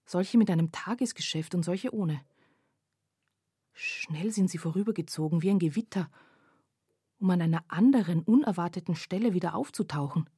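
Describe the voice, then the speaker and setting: A reflective woman narrating a literary radio play. Her voice is low and mellow with a husky edge. She speaks deliberately, as if observing a huge painting. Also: dry recording, studio quality. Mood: pensive, uneasy. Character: pensive female first-person narrator viewing a panorama.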